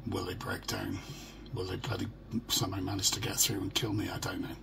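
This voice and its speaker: Croaky, deep-voiced middle-aged British man. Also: very animated, a little fast. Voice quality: croaky, gravelly. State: hoarse. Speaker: vlogger. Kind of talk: casual personal video diary. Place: small furnished room at home. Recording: noisy home phone or webcam recording.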